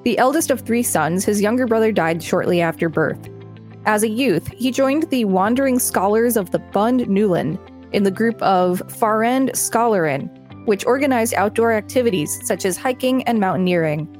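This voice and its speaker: A woman at a mid-range pitch reads an encyclopedia article aloud, steady and deliberate, then drops into a relaxed conversational tone for quick asides. A faint bed of music sits under her voice. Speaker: podcast co-host reading aloud. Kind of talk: reading an article aloud with casual commentary.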